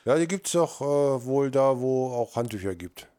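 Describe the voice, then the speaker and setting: A man's voice: medium pitch, lively intonation, normal speed, warm tone, clean tone, no room sound, clean studio sound. An older man in casual chat, agreeing readily and repeating short affirmations. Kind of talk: casual chat between two older workers.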